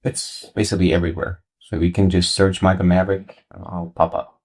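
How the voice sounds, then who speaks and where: A man with a deep, low-pitched voice speaks as a musician guest on a radio show. He answers casually and conversationally, as in an informal studio interview. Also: flat delivery, fairly quick, a little mellow.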